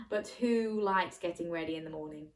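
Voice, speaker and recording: Female children's storyteller, mid-range pitch, animated, playful, interactive bedtime-story read with questions to the young audience. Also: moderate pace.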